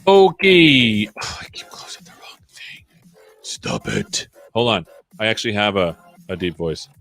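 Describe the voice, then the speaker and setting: A man's voice: lowish voice, huge pitch swings, a little slow, gravelly, fairly bright tone, faint music behind. A man hosting a developer livestream, coding live and chatting as he works, often muttering to himself. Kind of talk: live coding, thinking aloud.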